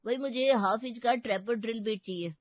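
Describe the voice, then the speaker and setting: A woman's voice: on the high side, brisk, no room sound, highs cut off, natural voice. A woman voicing an impatient, irritated male hardware customer in a story cartoon.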